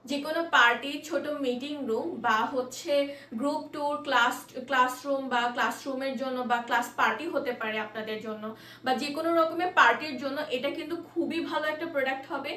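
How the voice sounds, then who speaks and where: High-pitched Bangladeshi woman in an echoey room. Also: fairly even intonation, pushed and bright. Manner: explanatory, halting, mixes in English words. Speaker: product presenter. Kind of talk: informal product demonstration. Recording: echoey room, distant mic, faint noise.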